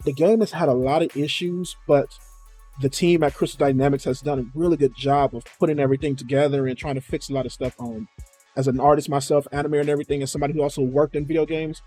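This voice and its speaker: A man's voice: mid-range voice, fairly even intonation, fast talker, darkish tone, soft background music. A man chatting casually and informally as a video host, with slang and swearing as he reacts to a game trailer.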